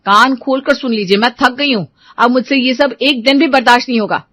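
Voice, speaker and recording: A woman's voice: sits high, fairly quick, fairly bright tone, dry recording, clean studio sound, voice pushed up. A woman voicing a strict, fed-up young daughter-in-law, firm and lecturing, in a family story. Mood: fed up, stern.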